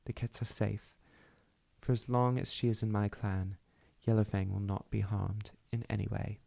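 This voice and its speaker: A man's voice: on the low side, level tone, normal speed, gravelly, warm tone. A man voicing a stern, protective female cat clan leader with an amateur put-on British accent, speaking firmly and formally. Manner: firm, formal, declarative.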